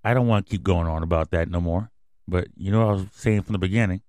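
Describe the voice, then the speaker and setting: A man with a fairly low voice hosts his podcast and walks through the news in a blunt, casual way. He talks like he is chatting with regular listeners. The sound is dry and close, with no room echo. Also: fast talker, a little mellow. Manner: loose, blunt and conversational, with a grand on-air persona. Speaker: podcast host.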